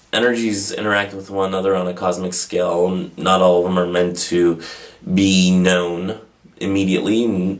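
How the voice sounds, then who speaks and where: Guy with a deep voice doing a casual q&a, rambles a bit, pokes fun at himself. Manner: informal, rambling, self-deprecating. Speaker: host of a pagan question-and-answer video channel. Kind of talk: casual, unscripted answering of viewer questions.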